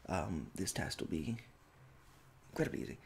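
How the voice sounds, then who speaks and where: A young man doing a soft-spoken ASMR roleplay as a medical examiner, halting and awkwardly polite, in a small room at home. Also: sits low, expressive, gravelly, a little hiss or hum. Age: young adult. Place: small domestic room indoors.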